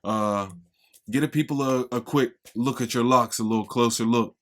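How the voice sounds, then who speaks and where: A man's voice: mid-range voice, normal speed, a bit bright. A young man from Pittsburgh hosting a casual podcast interview, upbeat and slangy with hype greetings. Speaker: podcast host.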